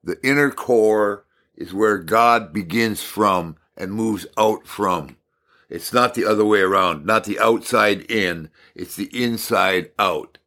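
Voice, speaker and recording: A man teaching a devotional message in a calm, unhurried way with thoughtful pauses. His voice is a little raspy from talking a lot. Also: fairly low pitch.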